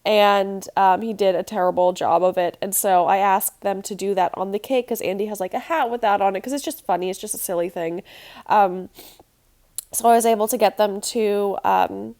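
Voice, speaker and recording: A woman's voice: medium pitch, steady tone, normal speed, a little mellow. A young woman with a nasal, congested voice, chatting casually with many ums as she talks through a craft project. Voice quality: nasal from allergies. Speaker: scrapbooking channel host.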